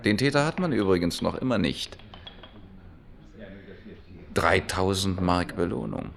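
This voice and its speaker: Gravelly, quietly probing affable man. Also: deep voice, lively intonation, heavily acted. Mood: pointed, casual. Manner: polite, deliberate, insinuating. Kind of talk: radio drama dialogue acting.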